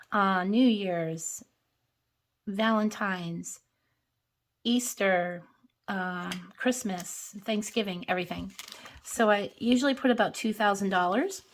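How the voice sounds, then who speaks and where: A hoarse woman hosting a casual budgeting vlog, chatting and counting bills aloud. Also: medium pitch, unhurried, fairly bright tone. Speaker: budgeting channel host. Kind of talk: casual cash-stuffing vlog.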